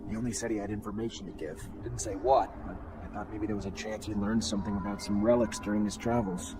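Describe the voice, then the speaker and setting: A man's voice: lowish voice, steady tone, fast talker, natural voice. A man playing a dutiful young soldier in a video game, restrained, polite and respectful. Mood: matter-of-fact. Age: young adult. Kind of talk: video game cutscene acting. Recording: music behind the voice.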